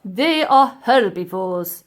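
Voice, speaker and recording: A woman acts out a cold, gloating old professor from a children's storybook. The delivery is pompous and emphatic, slowly drawn out, with big swings in pitch as each point is pressed home. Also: expressive. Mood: stern, lecturing. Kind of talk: children's audiobook character acting.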